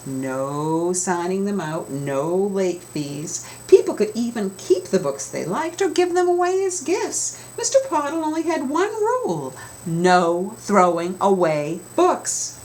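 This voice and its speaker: A woman reading a children's storybook aloud with expressive, dramatic emphasis, as an author telling her own tale. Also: medium pitch, slow, few pauses, slight background noise, poor audio.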